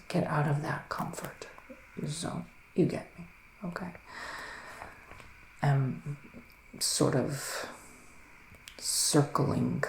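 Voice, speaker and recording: A man playing a solemn psychic male witch in a soft-spoken, half-whispered ASMR roleplay, deliberate and full of breathy pauses. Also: a little high, echoey room, rough recording. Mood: coaxing, hushed. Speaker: ASMR performer.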